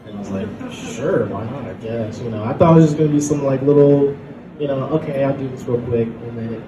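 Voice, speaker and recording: A young man speaking casually and somewhat haltingly as a panelist and art director. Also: mid-range voice, lo-fi sound, a crowd in the background. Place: convention panel room. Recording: echoey room, distant mic, music behind.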